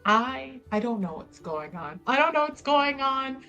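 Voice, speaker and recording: A woman playing in a tabletop roleplaying game, improvising in character with asides, in candid, halting phrases. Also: high voice, big pitch swings, pushed and bright. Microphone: close microphone on online stream. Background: music.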